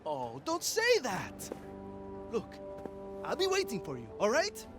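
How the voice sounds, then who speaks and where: A woman playing an eager, starstruck, excitable youngster in a video game. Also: on the high side, slow, exaggerated voice. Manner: excitable, hesitant. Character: eager, starstruck youngster offering to help the hero. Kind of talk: animated video game acting. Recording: loud music behind.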